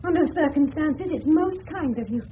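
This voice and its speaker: Genteel English mother, high voice, formal and strained, thin old radio sound. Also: animated, a little fast. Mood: courteous, strained. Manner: formal, courteous. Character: genteel, reserved English middle-class mother under strain. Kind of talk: 1970s radio drama acting. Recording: tinny, noisy old radio broadcast.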